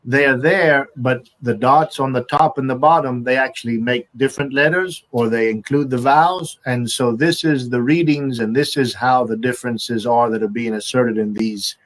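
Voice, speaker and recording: A man's voice: mid-range voice, fairly even intonation, moderate pace, few pauses, low-quality recording. Attentive male interview host. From half Iraqi, half American. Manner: attentive, frequently affirming, asks clarifying questions. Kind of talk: interview questioning.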